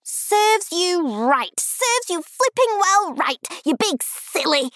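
A woman voicing an excitable, indignant five-year-old girl in an audiobook, with emphatic childish babble. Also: high voice, measured pace, no echo, pitched above the performer's own voice, full character voice. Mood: indignant, shrieking. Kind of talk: audiobook character voice.

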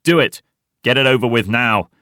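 A man's voice: mid-range voice, moderate pace, bright, forceful tone, no echo, studio quality, voice pushed up, lightly acted. A man voicing a pompous, spiteful soldier in a war novel, officious and eager to command. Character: officious, self-important, spiteful male soldier.

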